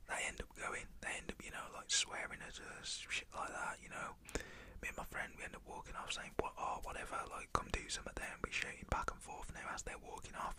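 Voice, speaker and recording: Young British male, fully whispered ASMR storytelling, crisp and bright, casual street-slang delivery.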